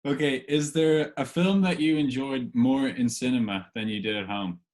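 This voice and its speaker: A man's voice: mid-range voice, moderate pace, a little mellow. A man hosting a casual interview, putting informal questions in a tentative, hesitant way. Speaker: podcast host, interviewer.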